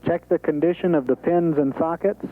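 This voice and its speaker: Higher-voiced American man over thin radio. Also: fairly even intonation, moderate pace, phone-quality sound. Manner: clear step-by-step instructions, businesslike. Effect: radio transmission. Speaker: capsule communicator at mission control. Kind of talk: procedural radio communication. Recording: thin, band-limited space radio link.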